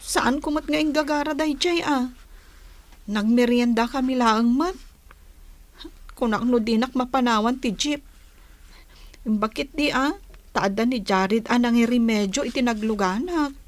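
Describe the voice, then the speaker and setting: An actress with a Filipino accent playing a reluctant, petulant young bride-to-be in a radio drama. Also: female voice, fairly high pitch, a little slow, pauses often, natural voice. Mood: defensive, resigned. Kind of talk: Ilocano radio drama acting.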